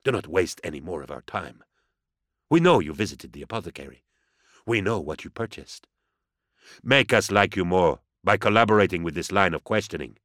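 Man playing a low, silkily menacing interrogator. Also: expressive, a bit bright, no echo, studio quality. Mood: quiet menace. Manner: measured, courtly, mocking, theatrical. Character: amiable yet menacing male interrogator, theatrical and sardonic. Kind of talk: audiobook character acting.

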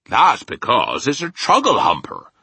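A man's voice: medium pitch, huge pitch swings, a little slow, creaky, sharp tone, slightly rough, dry recording, voice pushed up, heavily acted. A man voicing a big friendly giant from a children's storybook, earnest and proud, with folksy mangled grammar and invented words. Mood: proud, emphatic. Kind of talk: children's storybook character acting.

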